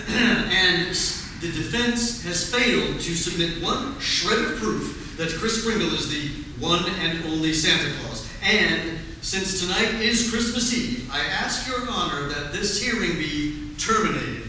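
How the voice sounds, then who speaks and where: Man playing a sharp, forceful prosecutor, echoey. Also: sits high, animated, a little slow, hardly any pauses, distant mic, heavily acted. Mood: impatient, pompous. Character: impatient, formal prosecuting attorney. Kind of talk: stage performance of 1940s radio play. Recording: echoey stage from afar, faint noise.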